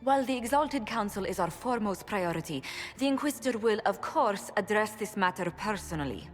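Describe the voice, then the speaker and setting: A woman's voice: mid-range voice, lively intonation, fairly quick, natural voice. An actress playing a poised, diplomatic female ambassador in a fantasy video game, formal and emphatic. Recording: hall reverb with music behind.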